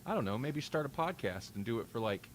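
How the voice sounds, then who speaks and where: A man's voice: mid-range voice, expressive, fast talker, a little hiss or hum. A man hosting a casual podcast, talking in a rambling, wry way and often interrupting himself. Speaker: podcast host. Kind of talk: casual banter on a live-streamed podcast.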